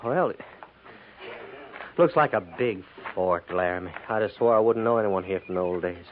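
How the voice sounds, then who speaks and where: An actor playing a troubled, plainspoken cavalry trooper with a rural Western American accent, halting and deferential, in a 1950s radio drama. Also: male voice, low-pitched, natural voice. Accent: rural American West. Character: troubled, plainspoken frontier cavalry trooper, newly remarried widower. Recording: tinny, noisy 1950s radio recording.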